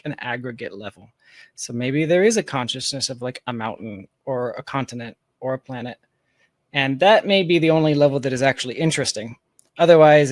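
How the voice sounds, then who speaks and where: A man's voice: fairly high pitch, dry recording. A man in a casual, reflective conversation, thinking aloud in an informal, philosophical way. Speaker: podcast guest, tech founder.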